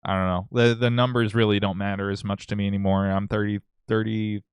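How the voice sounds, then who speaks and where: Low, smooth-voiced blunt man. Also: level tone, brisk, no echo. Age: 30s. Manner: blunt, philosophical, matter-of-fact. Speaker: podcast guest. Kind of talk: casual podcast chat.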